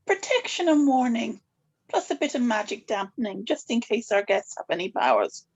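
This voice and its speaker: A woman's voice: fairly high pitch, big pitch swings, moderate pace, a little mellow, husky. A woman playing a wary, commanding witch grandmother at a dramatic table read, composed, formal and pointed. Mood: brisk. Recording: slightly dull, lo-fi recording.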